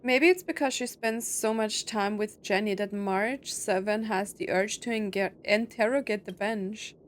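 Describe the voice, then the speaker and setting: A woman's voice: mid-range voice, unhurried, slight background noise. A woman doing casual let's play commentary, sometimes reading game dialogue aloud. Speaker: gamer playing and commenting.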